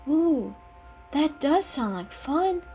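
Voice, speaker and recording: A woman's voice: sits high, big pitch swings, slow pace, warm tone, pitched above the performer's own voice, heavily acted. A small, innocent boy kitten, voiced by a woman reading a storybook. The voice is lifted and earnest, curious and a little unsure, with music underneath. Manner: earnest, questioning, easily discouraged. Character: small, clumsy young male tuxedo kitten, innocent and curious.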